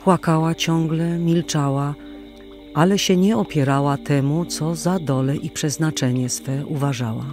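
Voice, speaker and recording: A man narrating an old tale as a scripted audio drama, in a solemn, measured, literary manner. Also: on the high side, a little mellow. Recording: music playing behind the voice. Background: music.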